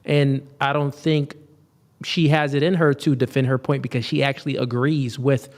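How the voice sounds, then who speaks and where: A man hosting a podcast, reading viewer chats and chatting in a relaxed way. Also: mid-range voice, moderate pace. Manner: relaxed, laughs between points. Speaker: podcast host. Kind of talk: casual chat.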